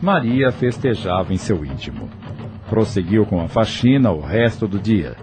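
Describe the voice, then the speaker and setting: Male narrator, low pitch, lively intonation, Brazilian accent, scripted storytelling, faint music behind. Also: moderate pace.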